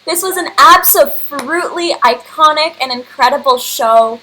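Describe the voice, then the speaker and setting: A woman's voice: sits high, normal speed, pushed and bright. A woman in her early twenties hosting casually and effusively on a livestream. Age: early 20s. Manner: effusive, informal. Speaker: host and curator. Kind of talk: casual unscripted livestream address. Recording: clearly noisy home computer livestream.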